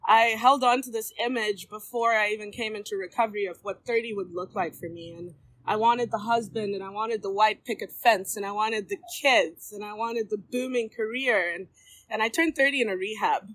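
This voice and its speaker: Woman, 30s, heartfelt recovery share to a group in a room, halting and candid. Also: mid-range voice, fairly even intonation, a bit bright, rough recording. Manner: candid, halting, with many ums and restarts. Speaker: recovery meeting speaker. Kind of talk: personal share at a recovery meeting. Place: meeting room before a seated circle.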